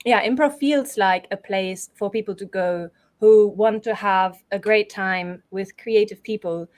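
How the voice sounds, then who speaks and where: A woman's voice: medium pitch, measured pace. A woman from Europe speaking as an interview guest, explaining things conversationally in a thoughtful, qualified way. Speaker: guest, improv theatre performer and organiser.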